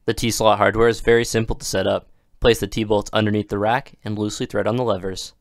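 Low-voiced man narrating a product demo. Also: fairly quick, dry recording, studio quality. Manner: even, clear instructional delivery.

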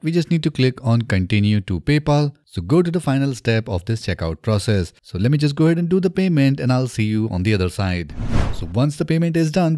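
A man in his thirties narrating a software tutorial step by step, in an instructional way, close to the microphone. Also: medium pitch, no room sound. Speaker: tutorial presenter. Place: small indoor home studio. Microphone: close desk microphone on a boom arm.

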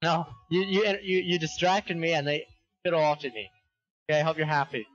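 A man talking on voice chat during a game, excitable with loud outbursts and laughter. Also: fairly high pitch, brisk. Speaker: teammate on voice chat. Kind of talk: casual gaming chat. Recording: muffled voice chat.

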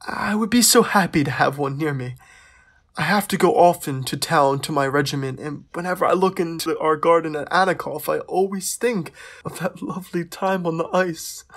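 A man's voice: fairly high pitch, moderate pace, a bit bright, slightly rough. An actor playing a courteous, lovestruck young royal, reading his letter aloud in a hesitant, wistful way for an audio drama. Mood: shy, wistful.

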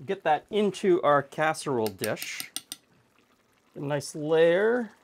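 Middle aged guy cooking at home, laid back, lots of ums as he thinks out loud. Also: fairly high pitch, slow, slight background noise. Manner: relaxed, chatty, thinking aloud with fillers. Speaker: cooking show host. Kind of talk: casual cooking demonstration.

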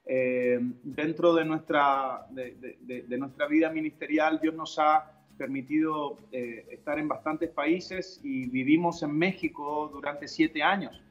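A middle-aged man speaks in a fairly high voice over faint music. He sounds like a guest chatting casually in an interview. He is on a video call from a room at home. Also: fairly even intonation, a little slow, few pauses, lo-fi sound. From Chile. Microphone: video call device microphone.

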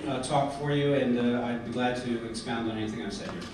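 A middle-aged American man giving an earnest prepared speech at a podium, often pausing on fillers and restarts. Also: mid-range voice, fairly even intonation. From United States. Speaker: convention speaker. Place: large hotel conference hall, indoors. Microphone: podium mic, filmed by a far camera. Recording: echoey hall from far off, background noise.

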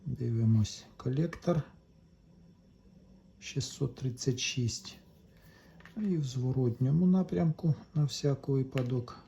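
Mellow-voiced man narrating a repair. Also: mid-range voice, gravelly, slight background noise. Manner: unhurried, talks along while working, addresses viewers. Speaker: electronics repair video maker. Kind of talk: casual hands-on repair commentary.

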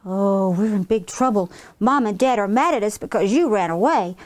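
A woman's voice: medium pitch, expressive, moderate pace, close to an everyday voice. A woman voicing a bossy, scornful older sister in a children's story, exasperated and scolding.

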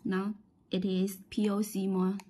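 A woman with a Chinese accent giving a halting, step-by-step instructional product demonstration, often repeating phrases. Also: medium pitch, monotone, warm tone, slightly rough.

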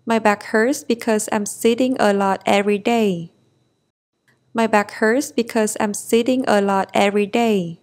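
A woman reads English practice phrases at a very slow, deliberate pace. She speaks at a medium pitch and breaks each sentence into pieces before repeating it in full. The delivery is even and careful, meant for beginners to follow. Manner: careful, splits phrases into chunks then repeats them whole. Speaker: English teacher.

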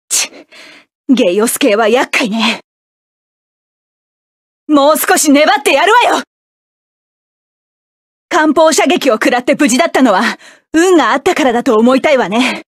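A woman voicing a proud, hot-tempered young mecha pilot, barking sharp, clipped orders in game battle lines. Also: high voice, no echo, clean studio sound. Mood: grim, determined.

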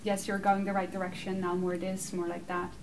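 A Dutch woman in her thirties answering audience questions in a casual, animated way, laughing often; English is her second language. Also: on the low side, a little fast. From Netherlands. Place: small room with curtained backdrop, seated. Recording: distant mic, faint room noise.

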